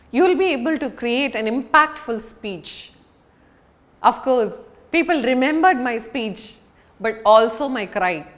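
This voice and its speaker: A woman giving a public speaking lesson as an instructor, speaking deliberately and emphatically with dramatic pauses. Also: on the high side. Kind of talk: scripted lesson to an audience on video.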